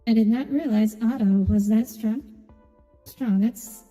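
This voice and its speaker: A woman's voice: on the high side, measured pace, mellow, long reverb tail. A woman giving casual commentary as she streams a video game. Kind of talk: casual gaming commentary, reading dialogue aloud. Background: video game music.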